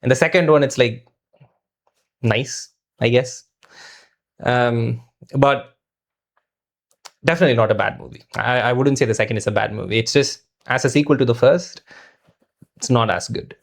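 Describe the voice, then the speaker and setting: A man talks through a film review as a host in casual conversation. His voice sits at a medium pitch. He is thoughtful and hesitant, feeling his way toward each judgement. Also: moderate pace, creaky, long pauses.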